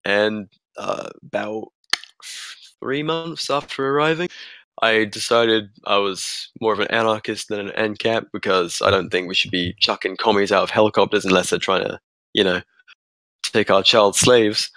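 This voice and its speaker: A man's voice: fairly low pitch, moderate pace, sharp tone, no room sound. A young man joking and mocking himself in a loose, casual chat among friends. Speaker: podcast co-host.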